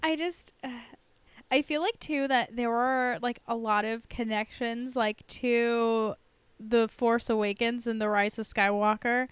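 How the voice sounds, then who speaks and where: Female podcast co-host, high clear voice, informal and sweary, chatting casually in a dry studio-like sound. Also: steady tone, takes it slowly, dry recording. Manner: informal, profane, heavy use of "like". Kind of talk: casual chat reviewing a TV episode.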